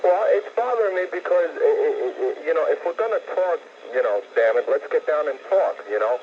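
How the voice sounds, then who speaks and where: A man's voice: fairly high pitch, animated, a little fast. A man from Italy calling in to a radio talk show, rambling and hesitant, filling his talk with "you know". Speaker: caller. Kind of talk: call-in radio conversation. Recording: dull phone-in radio recording, faint hiss.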